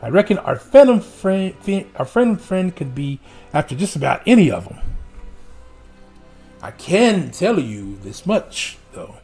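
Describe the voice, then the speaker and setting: A man reading aloud as a gruff, streetwise male rogue in a put-on drawl, from a video game. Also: high voice, very animated, unhurried, darkish tone, faint music behind, pitched above the performer's own voice, heavily acted. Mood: wary.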